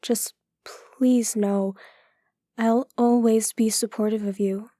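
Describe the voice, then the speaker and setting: A woman plays a guarded, stubborn police chief in an audio drama, a protective big sister with a mellow, higher voice. She takes her time over her words, and the room echoes around her. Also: steady tone, slow pace, studio quality. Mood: concerned, gentle.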